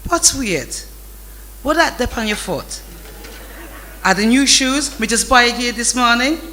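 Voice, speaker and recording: A man's voice: very high-pitched, expressive, a little slow, pushed and bright, a little hiss or hum, pitched above the performer's own voice, exaggerated voice. A man voicing a stern Jamaican father, scolding in Patois with indignant emphasis, in a storybook reading.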